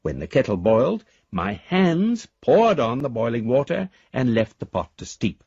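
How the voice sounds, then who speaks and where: A man's voice: medium pitch, big pitch swings, unhurried, darkish tone, breathy edge, no echo, muffled recording, heavily acted. An actor playing an eloquent, whimsical elderly father in a radio drama, wordy and wryly formal as he reads his letters aloud. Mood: bemused wonder. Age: older.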